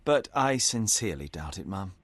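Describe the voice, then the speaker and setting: An actor playing a young, self-assured detective in a video game, with a dry, precise manner. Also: male voice, sits low, very animated, normal speed, music in the background. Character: young, clever, aloof male detective.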